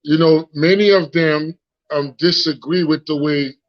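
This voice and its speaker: Haitian guy in his 40s, slightly high voice, talks frank and loops back on his words, muffled lo-fi phone sound. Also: steady tone, a little slow. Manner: frank and reflective, repeats words while searching for them. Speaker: guest, founder. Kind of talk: casual live interview. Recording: lo-fi phone audio.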